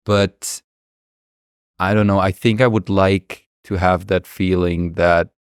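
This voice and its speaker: A man's voice: deep voice, level tone, slow, clean tone, dry recording, studio quality. A man from Germany, a native German speaker, talking casually and earnestly as a podcast guest.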